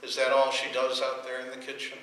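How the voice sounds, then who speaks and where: An actor playing a sardonic, exasperated husband in a radio domestic comedy, sarcastic and incredulous. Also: male voice, medium pitch, completely flat delivery, fast talker, fairly bright tone, close to an everyday voice. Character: exasperated, sardonic husband dreading his mother-in-law. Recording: very echoey, distant, faintly noisy radio-play recording.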